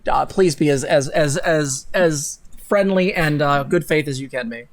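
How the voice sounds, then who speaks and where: A woman's voice: sits low, a little slow. A young adult woman co-hosting a livestream in a sardonic, blunt way, with casual mocking commentary. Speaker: co-host of a livestream.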